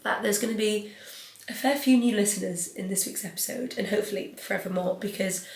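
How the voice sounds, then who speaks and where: A woman's voice: mid-range voice, a little fast, gravelly, a little mellow, reverberant. A young woman hosting a podcast solo, chatty and rambling, sounding stuffy and sniffly from a cold. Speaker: podcast host. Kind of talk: casual solo podcast chat.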